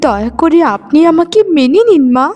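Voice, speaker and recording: A woman acts a meek young wife in a moral-story cartoon. Her high voice is plaintive, submissive and long-suffering, set over faint music. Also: lively intonation, moderate pace, warm tone. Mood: pleading. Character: meek, pleading young daughter-in-law, long-suffering and gentle.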